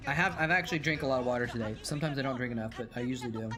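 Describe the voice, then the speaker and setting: A man's voice: mid-range voice, rapid-fire, vocal fry, crowd noise. A man streaming and chatting casually as a former game caster, rambling and correcting himself as he answers viewers, his voice tired after hours of talking. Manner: rambling, self-correcting, reads and answers chat aloud. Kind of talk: casual live-stream chat while watching old matches.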